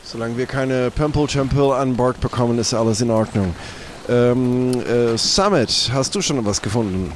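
A man's voice: medium pitch, moderate pace, few pauses. A man leading an improvised audio-play scene with casual, dry banter. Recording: faint background noise.

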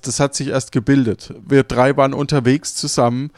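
A man's voice: medium pitch, little pitch movement, brisk, darkish tone, dry recording, clean studio sound. A man in casual conversation as a podcast co-host, thinking aloud and rephrasing as he goes. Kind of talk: casual chat.

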